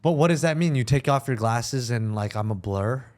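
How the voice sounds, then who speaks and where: A man's voice: mid-range voice, normal speed, darkish tone. A man chatting casually as a podcast host, in loose back-and-forth banter.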